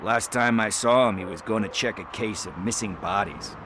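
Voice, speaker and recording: Game role: male actor as low-voiced junkie snitch, slangy and wheedling, with street noise clearly behind. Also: moderate pace, natural voice. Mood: reflective. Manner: slangy, folksy, hesitant street talk. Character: chatty, wheedling male junkie street informant and petty thief. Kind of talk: video game cutscene acting.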